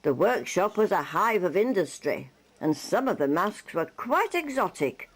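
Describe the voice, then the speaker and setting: A woman's voice: fairly low pitch, big pitch swings, measured pace, husky. A woman narrating a local newsreel from a script, with light wry humour.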